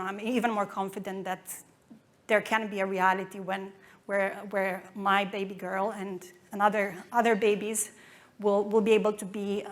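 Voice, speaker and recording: Woman, romanian accent, conference talk, even flat tone, halting with fillers. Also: mid-range voice. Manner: hesitant, many fillers and restarts. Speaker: conference speaker.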